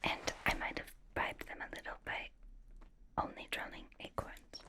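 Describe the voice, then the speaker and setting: A woman playing a chatty, suspicious young superheroine in a soft, breathy ASMR whisper, rambling through a playful interrogation. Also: moderate pace, close to an everyday voice. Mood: bashfully boastful. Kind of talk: ASMR roleplay, mostly whispered personal attention.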